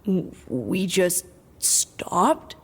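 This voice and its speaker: A woman's voice: lowish voice, big pitch swings, drawn-out words, slightly rough, full character voice. A woman playing an earnest, easily alarmed youthful worrier in an audio drama, speaking with urgency. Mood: uneasy.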